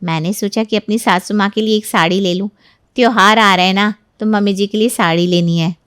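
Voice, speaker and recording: A woman's voice: mid-range voice, fairly even intonation, a little fast, a bit bright, clean tone, no echo, clean studio sound, close to an everyday voice. A woman playing a jealous young married neighbour, falsely sweet and sly, in a dramatized moral story. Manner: sly, insinuating, mock-hesitant.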